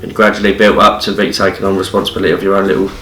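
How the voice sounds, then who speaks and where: A man's voice: low-pitched, level tone, very fast, fairly bright tone. A young man with an English accent, a qualified apprentice who answers hesitantly with many fillers. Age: young adult. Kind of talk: casual interview answers. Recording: distant, roomy, with music.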